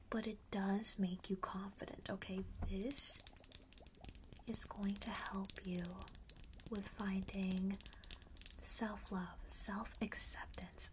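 A young woman playing a friendly witch perfume seller in an ASMR roleplay, whispering softly and breathily close to the microphone in a casual, slangy, reassuring way. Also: mid-range voice, heavy background noise, close to an everyday voice. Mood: encouraging, friendly. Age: young adult. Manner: casual, slangy, gently coaxing. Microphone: close large studio microphone.

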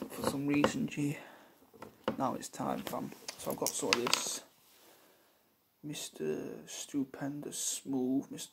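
Chilled roadman barber, played by a young man, ASMR roleplay: hushed, slangy, heavy vocal fry, loud background hiss. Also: mid-range voice. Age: young adult. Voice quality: creaky, hushed. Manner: laid-back, slangy street talk. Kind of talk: ASMR roleplay, softly spoken and whispered. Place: small living room or bedroom indoors. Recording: noisy hissy home recording, clicks.

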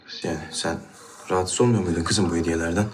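A man's voice: sits low, fairly even intonation, quick pace, a little hiss or hum, lightly acted. An actor playing a stubborn, defensive young man in a TV drama, curt, colloquial and dismissive. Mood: matter-of-fact. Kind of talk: naturalistic TV drama acting.

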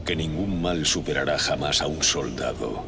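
An actor playing a noble chapter master of space marines in a video game, speaking formally and ceremoniously. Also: male voice, extremely low pitch, normal speed, gravelly. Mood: earnest, firm. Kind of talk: solemn video game cutscene acting. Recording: loud music behind. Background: loud cinematic music.

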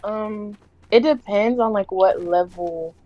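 A young woman from South Carolina talking over a game voice call, her voice sitting at an ordinary middle pitch. She sounds relaxed and laid-back, keeping her answers short and a little guarded. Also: takes it slowly, lo-fi sound. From South Carolina, USA. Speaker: guest gamer. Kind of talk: casual chat over voice call.

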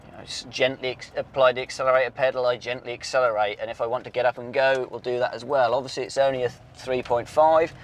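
A man's voice: mid-range voice, fast talker, hardly any pauses. A man, talking casually through a car's engine work as a workshop mechanic, with lots of "um"s and restarts. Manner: relaxed, many "um"s and restarts, friendly banter. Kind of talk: casual technical walkthrough. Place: outdoor workshop yard, then inside a moving car. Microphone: lapel mic.

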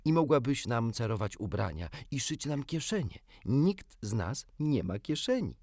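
Man playing an eager, high-pitched cunning boy. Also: very animated, normal speed, darkish tone, no echo, clean studio sound. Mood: sly coaxing. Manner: sly, persuasive.

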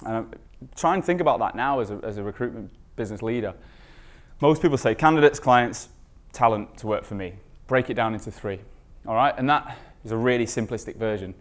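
A man's voice: lowish voice, brisk. Man giving a conference presentation. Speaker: conference speaker, recruitment marketing expert.

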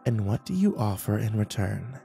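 A man voicing a seductive, predatory female demon in an audiobook, crooning and breathy with a slow, scornful, teasing menace. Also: lowish voice, very animated, mellow, soft background music. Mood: haughty, teasing. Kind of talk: audiobook character voice.

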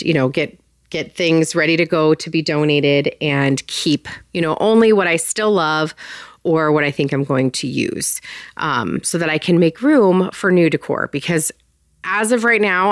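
A woman's voice: fairly low pitch, clean tone, dry recording, studio quality. Chatty woman giving a basement tour. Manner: chatty, filler-heavy, enthusiastic. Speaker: home organizing vlogger. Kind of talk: casual walkthrough chat. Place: home basement storage room.

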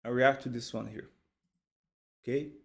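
A man from Brazil, a native Portuguese speaker with a Brazilian accent, hosting a music reaction in a halting, word-repeating way on a headset mic at home. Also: medium pitch, fairly even intonation, gravelly. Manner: halting, repeats words, exclaims in delight. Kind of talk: casual live reaction commentary. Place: home studio, indoors, gaming chair.

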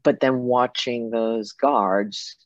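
A middle-aged woman from Japan giving reflective, halting, conversational answers as an interview guest on a video call. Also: very deep voice, fairly even intonation, dry recording. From Japan, with years in Germany and Italy. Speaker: interview guest, foundation president. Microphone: computer mic on a video call. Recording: dull video-call audio.

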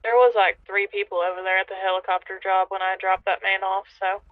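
A woman's voice: mid-range voice, flat delivery, quick pace, no room sound. A woman chatting casually in an online roleplay game over a radio-like channel. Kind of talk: casual chat. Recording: tinny phone-like radio channel, dry.

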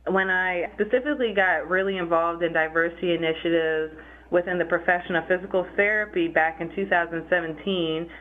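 A young adult woman, a physical therapist, answering interview questions in a measured, explanatory way. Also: fairly low pitch, fairly even intonation. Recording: phone-like narrow sound.

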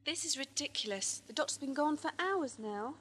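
A woman's voice: sits high, animated, moderate pace, a bit bright, a little hiss or hum. A woman playing an anxious, forthright young space traveller in a science-fiction audio drama. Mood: indignant. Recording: amateur 1980s drama tape with music.

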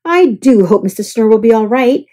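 A woman's voice: fairly high pitch, expressive, normal speed, dark tone. A woman voicing a polite, helpful male bellhop in a storybook, courteous and apologetic. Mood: apologetic, concerned. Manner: courteous, solicitous.

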